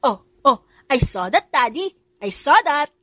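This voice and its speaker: A woman voicing a cheeky, mischievous little child with a bright manner. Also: sits high, very animated, unhurried, no room sound, pitched above the performer's own voice, heavily acted. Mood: teasing. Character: small mischievous kids, quadruplets.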